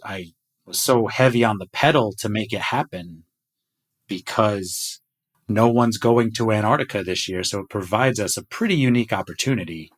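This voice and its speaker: A middle-aged man hosting a podcast conversation over a video call, enthusiastic as he shares numbers with his team. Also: lowish voice. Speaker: podcast host. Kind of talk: casual chat.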